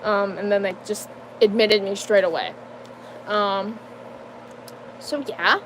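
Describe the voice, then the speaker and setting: A young adult woman giving a casual personal life update, halting and full of fillers, with nervous laughs between sentences. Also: mid-range voice, fairly even intonation, fairly bright tone, slight background noise, low-quality recording. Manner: hesitant, halting, many fillers and restarts. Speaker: vlogger.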